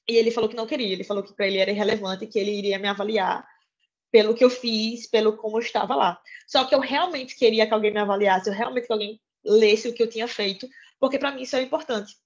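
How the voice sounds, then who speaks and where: A woman's voice: on the high side, steady tone, fast, muffled recording. A young woman, a law student talking informally to fellow students, chatty and earnest, with many fillers and self-corrections.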